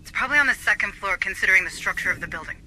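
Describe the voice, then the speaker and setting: An actor playing an alert, dryly sardonic military operator guiding a squad in a video game. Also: male voice, high voice, animated, racing, bright, forceful tone, exaggerated voice. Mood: focused. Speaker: mission coordinator on comms. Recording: noisy game ambience behind.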